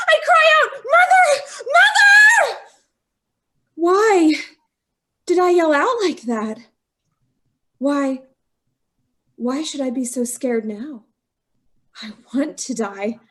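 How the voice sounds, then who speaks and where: A woman's voice: sits high, pushed and bright, heavily acted. A woman playing a desperate, bitter young bride. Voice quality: breathless and anguished. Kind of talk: old-time radio drama acting.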